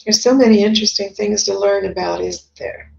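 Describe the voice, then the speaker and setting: A woman's voice: mid-range voice, fairly even intonation, brisk, dark tone. A woman, chatting casually as she hosts a live psychic reading stream and reads out viewer comments. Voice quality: scratchy, gravelly voice. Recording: dull lo-fi stream audio.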